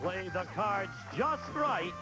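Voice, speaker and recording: A man's voice: high voice, animated, slow pace, over music. A man announcing the opening of a television game show, reciting a rhyme in a sing-song way. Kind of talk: rhyming show opening over music.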